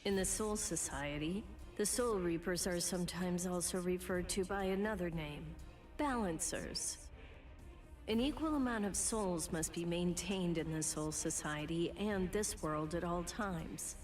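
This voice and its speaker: A woman playing a stern, composed young female soul reaper in an English anime game dub, speaking in a measured, serious way. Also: lowish voice, distant mic, close to an everyday voice. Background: loud game music.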